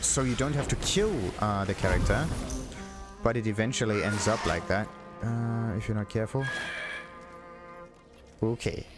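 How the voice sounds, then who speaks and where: A man giving casual game commentary as a let's play host, thinking aloud in a halting, puzzled way. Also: fairly low pitch. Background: game music.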